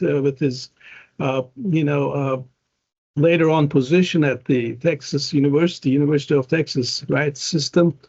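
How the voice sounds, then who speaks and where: A man's voice: a little high, gravelly, darkish tone, breathy edge, lo-fi sound. A man in his fifties with a Turkish accent, a professor chatting as an interview guest, rambling with many fillers and restarts. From Turkey. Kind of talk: casual interview conversation.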